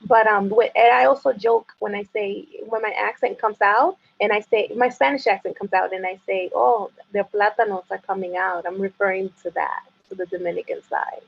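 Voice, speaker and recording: A woman's voice: mid-range voice, fairly even intonation, normal speed, vocal fry, few pauses, breathy edge. A woman from New York with a New York accent, a guest chatting on a video call, who explains at length and laughs easily. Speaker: interview guest. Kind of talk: casual video-call chat. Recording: muffled video-call audio.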